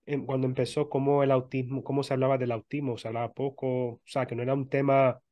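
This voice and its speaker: A man, hosting a casual interview in a halting way, often repeating words. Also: mid-range voice, flat delivery, highs cut off. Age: 30s. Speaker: interview host.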